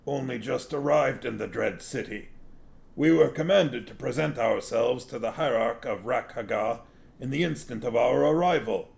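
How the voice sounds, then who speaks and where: A man's voice: medium pitch, expressive, a little slow, vocal fry, few pauses, voice pushed up. A man voicing an old sorcerer who poses as a humble pilgrim, speaking formally and deferentially with guttural sounds in a storybook reading.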